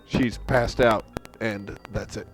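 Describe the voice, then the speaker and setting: A man's voice: lowish voice, fairly even intonation, takes it slowly, faint music behind. A man in a casual group discussion, mostly agreeing and adding points with hesitant fillers. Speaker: discussion panelist.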